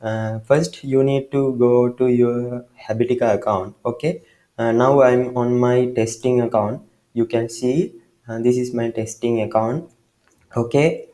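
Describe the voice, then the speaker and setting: Slow, hesitant Sri Lankan man. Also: medium pitch, steady tone, mellow, roomy sound. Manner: hesitant, halting, many fillers and restarts. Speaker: tutorial maker. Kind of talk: screen-recorded software tutorial.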